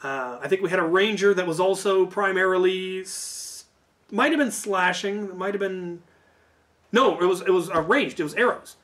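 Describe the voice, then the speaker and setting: A man telling a casual, animated story about a tabletop game, as a host who acts out quoted lines. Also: high-pitched, moderate pace.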